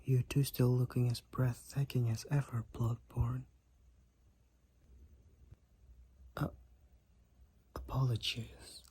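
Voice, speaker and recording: A man voicing a devoted, overprotective vampire boyfriend in an intimate audio roleplay, with courtly, archaic, tender phrasing and a breathy, husky voice. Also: mid-range voice, flat delivery, warm tone. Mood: tender, concerned. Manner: archaic, formal, tender, slow and deliberate wording.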